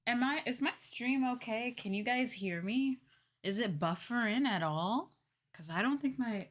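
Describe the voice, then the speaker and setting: A woman livestreamer chatting casually and thinking aloud, muttering a little hesitantly to herself. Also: lowish voice. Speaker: VTuber livestreamer.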